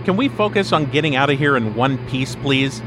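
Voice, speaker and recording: A man's voice: medium pitch, animated, moderate pace, music in the background, natural voice, low-quality recording. A man playing an eager, easily distracted podcaster in a comedic audio drama. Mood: exasperated.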